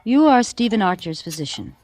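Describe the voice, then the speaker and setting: An actress playing a cold, scheming politician's wife in an old radio drama, calculating, insistent and persuasive in the 1940s manner. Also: female voice, medium pitch, very animated, brisk, a little mellow, natural voice. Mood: coolly menacing. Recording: noisy, crackly old radio recording.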